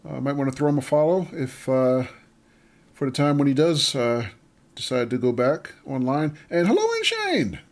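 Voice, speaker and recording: A man's voice: mid-range voice, big pitch swings, normal speed. A man streaming a game live, chatting casually with viewers, reading out their messages and greeting them by name. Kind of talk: casual livestream chat while playing.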